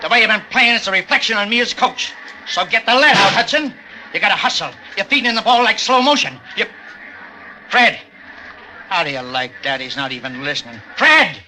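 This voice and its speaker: An American actor playing a hard-driving, angry basketball coach barking orders in a mid-century radio drama. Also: male voice, high-pitched, animated, fairly quick, pushed and bright, full character voice. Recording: noisy old radio recording.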